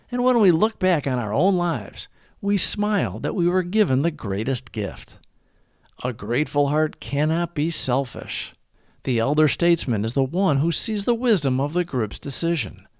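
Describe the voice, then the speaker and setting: A man hosting a daily recovery reading, delivering scripted reflections with deliberate, emphatic phrasing. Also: fairly high pitch, dry recording, studio quality. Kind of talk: scripted reflective read-along narration.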